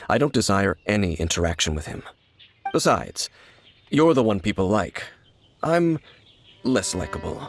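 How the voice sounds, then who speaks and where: An actor playing an aloof, guarded wandering swordsman in a video game, speaking in a grave, measured, terse manner. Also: deep voice. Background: game music.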